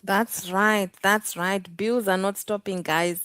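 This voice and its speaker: Zimbabwean lady hosting a live chat, talks real slow, dry close sound. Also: mid-range voice, fairly even intonation, fairly bright tone, clear, resonant tone, no room sound. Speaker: host. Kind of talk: casual livestream chat.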